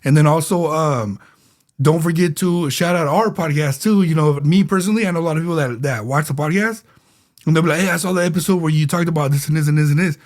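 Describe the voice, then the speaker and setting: A man's voice: fairly high pitch, quick pace. Easygoing man chatting, repeating himself. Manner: relaxed, repeats himself, many fillers. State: a bit under the weather. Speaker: podcast co-host. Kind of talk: casual podcast chat.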